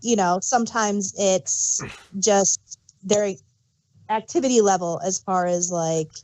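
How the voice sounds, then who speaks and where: A woman's voice: medium pitch, slow, a little mellow. A woman co-hosting a casual fan discussion, rambling and searching for words as she gives emphatic examples. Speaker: podcast co-host.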